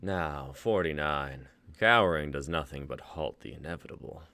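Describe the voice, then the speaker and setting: Guy doing a deep menacing executioner, bright edge, dry sound. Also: normal speed, no echo, full character voice. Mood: taunting. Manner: cold, taunting. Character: menacing male executioner, half-faced and cruel. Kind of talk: live dramatic reading.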